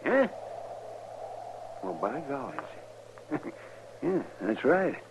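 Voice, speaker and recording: An actor playing a crusty but good-hearted frontier doctor in a 1950s radio western, folksy, animated and prone to exclaiming. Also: male voice, mid-range voice, extremely slow, heavily acted. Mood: amused surprise. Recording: dull, noisy old radio recording.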